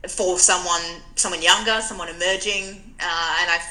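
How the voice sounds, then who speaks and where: Young woman, interview guest; lowish, bright, forceful voice, tells stories with restarts. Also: steady tone, moderate pace, low-quality recording. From Australia. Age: 20s. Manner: reflective, storytelling, frequent restarts. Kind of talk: conversational interview answers.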